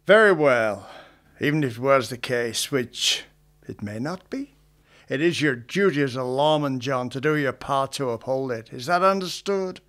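A man's voice: medium pitch, animated, normal speed, a bit bright, natural voice. An actor playing Kurtz, a smug and menacing male official in a western radio drama. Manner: smug, controlling.

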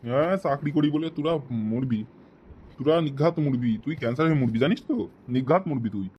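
A man's voice: mid-range voice, quick pace, lo-fi sound, a little hiss or hum, close to an everyday voice. A man voicing an exasperated, sarcastic, foul-mouthed friend in a comic cartoon. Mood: angry, grumbling.

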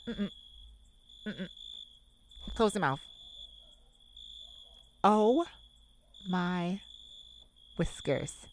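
A woman's voice: fairly low pitch, a little mellow, a little hiss or hum. A woman voicing a sassy, kind-hearted cat friend in an ASMR roleplay, theatrical and teasing as she scolds the listener in a motherly way. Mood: fussy scolding. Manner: theatrical, teasing, drawn-out emphasis. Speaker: audio roleplay performer.

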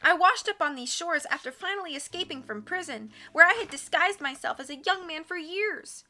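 A woman's voice: very high-pitched, normal speed, pushed and bright, pitched above the performer's own voice, exaggerated voice. A woman narrator voicing a timid island woman who longs for safety and a simple life. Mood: pleading.

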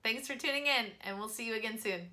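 A woman in her thirties giving scripted instructional advice to camera as a voice-over coach, in an expressive presenter's delivery. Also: on the high side, quick pace. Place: small home studio, indoors.

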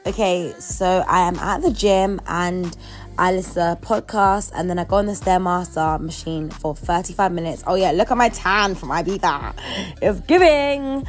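A woman's voice: fairly low pitch, normal speed, soft background music. A woman in her early twenties with a London accent, chatting casually in a slangy, playful way as she narrates a vlog. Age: early 20s. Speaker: vlogger.

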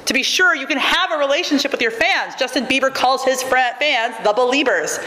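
A woman in her thirties giving a keynote lecture, reading a prepared academic text at a podium microphone in a large auditorium with a measured, wry, emphatic delivery. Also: high-pitched, pushed and bright. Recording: echoey auditorium, faint room noise.

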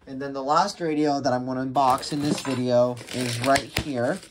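A man's voice: mid-range voice, steady tone, normal speed. A man giving a casual, hesitant, rambling unboxing commentary, often restarting his sentences. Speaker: vlogger unboxing radios. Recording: noisy home recording with handling clicks.

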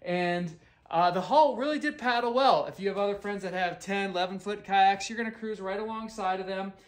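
A man's voice: high voice, a little fast. A man in his forties doing a casual, upbeat kayak review in an indoor garage, talking into a camera held at arm's length. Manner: upbeat, conversational, a few restarts. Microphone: handheld camera at arm's length.

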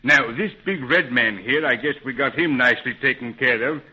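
Actor as folksy adventurer on tinny old radio. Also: male voice, mid-range voice, moderate pace, husky, close to an everyday voice. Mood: determined. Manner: folksy, plain-spoken. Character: Pat, a loyal, scrappy grown man, adventurer ally. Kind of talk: 1930s radio adventure serial acting. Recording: muffled, phone-like 1930s radio recording.

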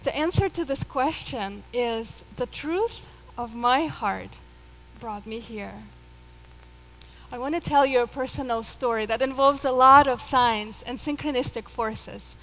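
A woman's voice: on the high side, a little slow, a bit bright, big-room sound, slight background noise, poor audio. A woman in her thirties from Russia with a Russian accent, telling a personal story in an earnest way as a speaker on a theater stage, miked with a headset. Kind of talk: live stage talk.

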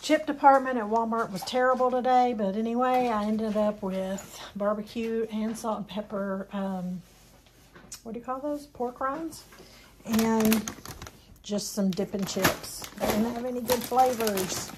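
A woman's voice: fairly high pitch, measured pace, noisy background. A woman in her fifties casually chatting through a grocery haul for her home vlog, rambling a little with ums and self-corrections. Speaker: vlogger. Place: home kitchen, indoors.

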